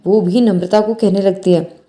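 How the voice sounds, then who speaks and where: Mellow woman storyteller. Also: medium pitch, steady tone, moderate pace, faint music behind. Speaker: narrator. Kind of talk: scripted bedtime story narration.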